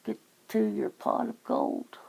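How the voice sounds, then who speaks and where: Gravelly, creaky-voiced woman. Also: medium pitch, noisy background. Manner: rambling, teasing, swears casually. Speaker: ASMR channel host. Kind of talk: casual phone chit-chat.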